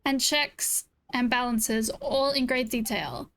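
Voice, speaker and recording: A woman's voice: a little high, slow, a bit bright. A woman streamer giving bubbly, chatty commentary over a game playthrough and reading its text aloud. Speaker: gaming streamer.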